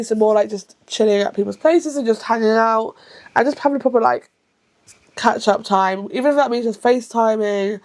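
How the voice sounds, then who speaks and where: Chatty woman around thirty. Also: mid-range voice. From England. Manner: chatty and rambling, with frequent self-corrections; rushed at times. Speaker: book vlogger. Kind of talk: casual to-camera vlog.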